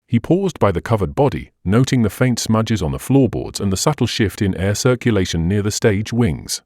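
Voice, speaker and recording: A man reading scripted detective mystery narration in a measured, dramatic storytelling manner. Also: fairly low pitch, lively intonation, a little mellow, no room sound. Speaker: audiobook narrator.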